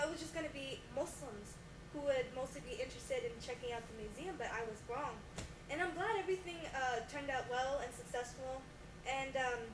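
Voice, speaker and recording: A woman with a high voice answers as a guest on a mock talk show. She speaks hesitantly, with plenty of fillers along the way. She sits away from the microphone in an echoey space, under a loud layer of noise. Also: distant mic. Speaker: guest scientist.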